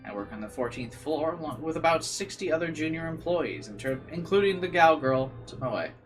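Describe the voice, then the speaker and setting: A man's voice: on the high side, animated, fairly quick, music in the background. A man doing casual livestream commentary and reading a visual novel aloud, rambling and incredulous in manner. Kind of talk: casual livestream commentary mixed with read-aloud game narration.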